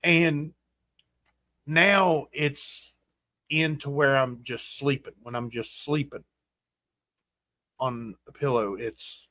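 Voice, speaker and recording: A man in his forties hosting a casual livestream chat, rambling and halting with fillers and restarts. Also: medium pitch, steady tone, sharp tone, dry recording. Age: 40s. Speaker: host.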